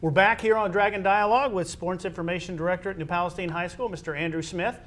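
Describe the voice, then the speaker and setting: A man's voice: sits high, steady tone, quick pace, fairly bright tone. A man with an American accent hosting a conversational sports interview, feeling his way through questions with rephrasing. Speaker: host.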